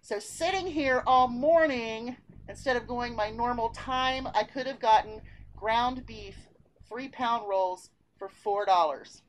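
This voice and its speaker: A woman's voice: fairly high pitch, takes it slowly, a little hiss or hum, poor audio. A woman, chatting upbeat through a grocery haul at home. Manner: chatty, upbeat, talks through prices and coupons. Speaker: vlogger showing a grocery haul. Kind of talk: casual chat. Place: home, indoors.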